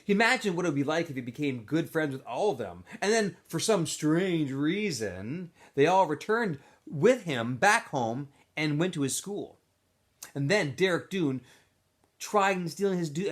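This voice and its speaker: Man reading aloud with very lively pitch. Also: normal speed. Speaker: teacher reading aloud to school. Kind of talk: expressive storybook read-aloud with informal introduction.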